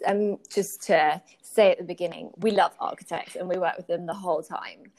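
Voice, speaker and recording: British lady on a podcast explaining her work, lowish voice, lots of vocal fry. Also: fairly even intonation, moderate pace, darkish tone. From United Kingdom. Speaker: podcast guest, workplace strategy consultant. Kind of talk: conversational interview answers, explaining professional work.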